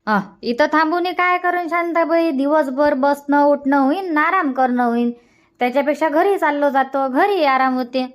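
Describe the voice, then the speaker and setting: Older village mother for cartoon comedy, played by a woman: high-pitched, mild, plaintive, Varhadi Marathi accent. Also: moderate pace, natural voice. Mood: reasoning. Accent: Varhadi Marathi from Vidarbha.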